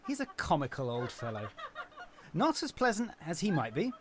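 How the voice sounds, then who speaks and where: Merry laughing nephew, voice all over the place. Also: male voice, fairly high pitch, wildly swooping pitch, normal speed, noisy background. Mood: kindly. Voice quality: warm, laughing, jovial. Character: cheerful young man, good-natured nephew.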